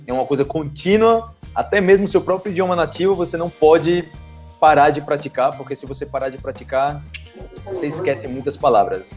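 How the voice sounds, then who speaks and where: A man's voice: medium pitch, darkish tone. A young Brazilian man with a Brazilian Portuguese accent, hosting a casual interview over a video call and asking long, rambling questions. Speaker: host, Portuguese teacher. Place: small room at home. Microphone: earphone headset on video call.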